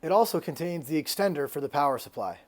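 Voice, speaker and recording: Man, slightly high-pitched voice, scripted instructional narration, clear step-by-step delivery, tutorial presenter. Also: lively intonation, fast talker. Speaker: presenter of a product installation tutorial.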